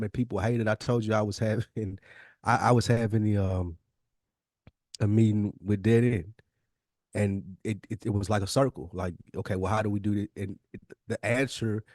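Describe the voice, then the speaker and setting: A man's voice: on the low side, a little mellow, dry recording, clean studio sound. An American man co-hosting a podcast, talking casually in long, emphatic, opinionated explanations. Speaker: podcast co-host. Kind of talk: casual conversational discussion.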